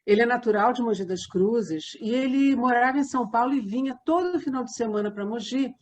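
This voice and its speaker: A woman with a Brazilian Portuguese accent, an interview guest explaining conversationally, with halting digressions. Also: fairly high pitch. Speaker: interview guest, theatre company member. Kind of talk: conversational storytelling.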